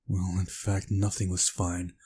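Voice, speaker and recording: A man's voice: sits low, level tone, measured pace, mellow, slightly rough. A man reading a story aloud as dramatic narration, as in a visual novel playthrough.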